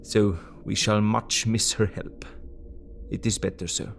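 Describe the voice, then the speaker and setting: An actor playing a learned, courtly older doctor in an audio drama, speaking earnestly in quaint, deliberate phrasing. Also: male voice, on the low side, flat delivery, vocal fry, a little hiss or hum. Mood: tender, earnest. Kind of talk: dramatised diary narration.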